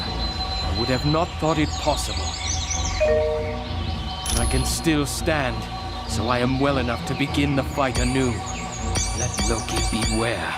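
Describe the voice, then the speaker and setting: Guy doing a heroic old-world warrior god, grand and determined, loud game music behind him. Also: mid-range voice, lightly acted. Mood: resolute. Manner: grand, archaic, declamatory. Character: noble, battle-worn male god warrior, grateful and resolute. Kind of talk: video game cutscene acting.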